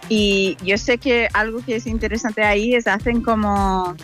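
A young woman from the United States speaks in an easy, chatty way, her first language English showing through. Her pitch sits in a middle range, and faint music plays under her voice. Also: steady tone, normal speed, a bit bright. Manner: chatty, mixes English phrases in. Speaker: interviewee. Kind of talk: casual interview.

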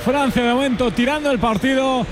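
A man's voice: very high-pitched, a little fast, raised voice, music in the background. A man giving projected, urgent live football commentary. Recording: clear stadium noise behind.

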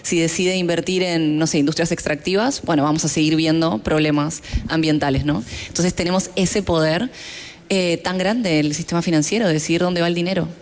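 A woman's voice: on the low side, fairly even intonation, fairly quick. A woman with a Latin American Spanish accent, answering interview questions in an earnest, explanatory, conversational way. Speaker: fintech founder, award-winning guest.

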